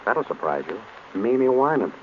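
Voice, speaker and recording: Guy playing a sharp dry-witted detective in an old radio show, brisk questions, muffled hissy sound. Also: medium pitch, very animated, lightly acted. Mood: brisk, wry. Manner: brisk, probing, wry. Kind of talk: 1930s radio acting. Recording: dull, noisy old radio recording.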